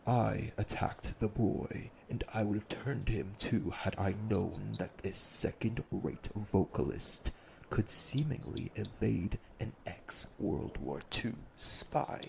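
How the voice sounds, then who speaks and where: Man acting low, gravelly, commanding vampire elder. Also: takes it slowly, a little mellow, slight background noise, full character voice, poor audio. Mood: stern, angry. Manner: formal, stern, imperious. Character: cold, commanding elder male vampire brother, menacing. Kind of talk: audio roleplay drama acting.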